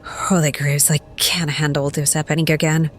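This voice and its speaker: A woman playing a haunted, grieving young adventurer in an audio drama, with an anguished, halting delivery. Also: low voice, level tone. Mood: shock, disbelief. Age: young adult. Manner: anguished, halting, breathy at times.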